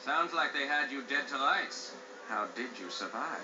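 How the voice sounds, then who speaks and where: A man's voice: medium pitch, expressive, normal speed, heavy background noise, close to an everyday voice. A man playing a mocking, menacing underworld thug in a video game, drawling his veiled threats with sarcasm. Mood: sly sarcasm. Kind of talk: video game villain acting. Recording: dull, roomy game audio under loud music.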